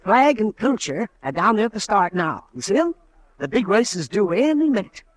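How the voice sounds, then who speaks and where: Reedy cackling old frontier doctor. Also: male voice, high voice, moderate pace, no room sound. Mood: cheerful. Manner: chuckling, rambling, excitable. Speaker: town doctor. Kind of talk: 1950s radio drama acting.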